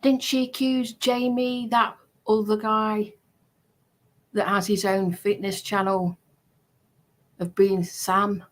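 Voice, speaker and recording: Woman, mid-pitched, unhurried and halting, offering casual commentary in short phrases. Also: flat delivery. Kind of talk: casual commentary on played clips.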